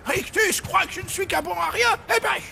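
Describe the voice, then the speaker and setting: A man playing a cranky, protective old zombie grandfather in a cartoon, gravelly and full of indignant bluster. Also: extremely high pitch, a little fast, full character voice. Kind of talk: French cartoon dub acting. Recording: loud music and crowd behind voice.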